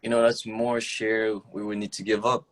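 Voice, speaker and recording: A man reads as a male schoolteacher with a fairly low voice. He is wary and grudging, in an amateur table read. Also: flat delivery, moderate pace, natural voice, rough recording. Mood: wary, objecting.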